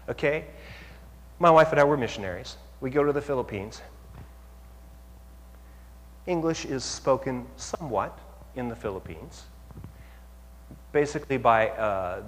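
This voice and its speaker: A male pastor giving an animated sermon, asking the audience questions and rising to near-shouts to make his points. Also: medium pitch, slight background noise. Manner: asks the audience rhetorical questions. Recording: long hall reverb.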